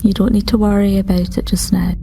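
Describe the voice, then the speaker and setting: Lady doing a caring space doctor, reassuring and measured, mellow creaky voice, roleplay game. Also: mid-range voice, flat delivery, slight background noise, natural voice. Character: calm, caring alien woman doctor on starship. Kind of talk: tabletop roleplay in character.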